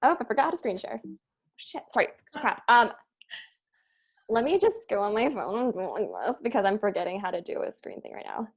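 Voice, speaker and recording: A woman's voice: medium pitch, lively intonation, brisk, slightly rough. A woman leading a cast meeting in casual, rambling talk with an informal, jokey manner. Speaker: show creator leading a cast table-read meeting. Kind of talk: casual chat.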